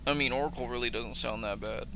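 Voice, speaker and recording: A man's voice: medium pitch, fast, noisy background. A young man hosting a reaction video, casually commenting and reading text aloud.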